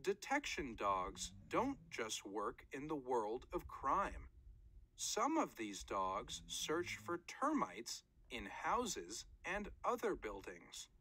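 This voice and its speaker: A woman's voice: extremely low pitch, wildly swooping pitch, slow pace, fairly bright tone, slightly rough. A woman reading a children's nonfiction book aloud in short, careful phrases, like a read-aloud narrator.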